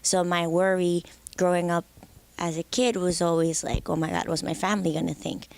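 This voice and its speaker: Casting: young woman from the Dominican Republic, lowish voice, candid, reflective interview guest. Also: flat delivery, normal speed, vocal fry, darkish tone, dry recording. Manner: candid, reflective, with frequent restarts. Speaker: guest musician. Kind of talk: interview conversation.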